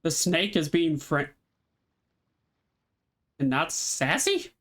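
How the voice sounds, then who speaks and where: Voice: medium pitch, sing-song, slow, a bit bright. A game streamer giving casual, offhand, sweary reactions while playing. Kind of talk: casual gameplay commentary.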